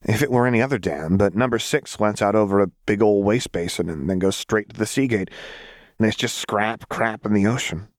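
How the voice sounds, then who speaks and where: A man voicing a manic, brash young woman saboteur in an audiobook, with a shrill, slangy, rambling delivery. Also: a little fast, no echo, clean studio sound, natural voice. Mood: eager, cajoling.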